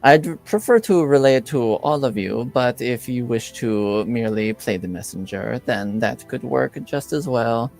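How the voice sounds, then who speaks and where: A man voicing a formal, guarded woman in her forties in a tabletop roleplaying game, with stiff, measured phrasing. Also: medium pitch, creaky, darkish tone, soft background music.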